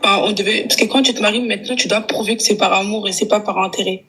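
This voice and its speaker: A woman from France calling in, speaking in a halting, resigned way with short confirmations. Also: mid-range voice, fairly even intonation, fairly bright tone. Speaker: caller.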